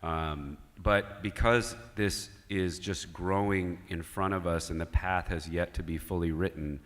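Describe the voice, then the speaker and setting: A man moderating a conference panel, putting questions to the audience and the panelists in a measured way. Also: low-pitched, steady tone. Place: large conference hall. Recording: long hall reverb.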